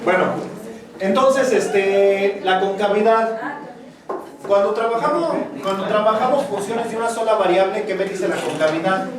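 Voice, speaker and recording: A man's voice: high-pitched, moderate pace, crowd noise. A man with a Mexican Spanish accent teaching a university math class, chatty and joking between explanations. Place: large classroom. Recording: echoey classroom, distant, students murmuring.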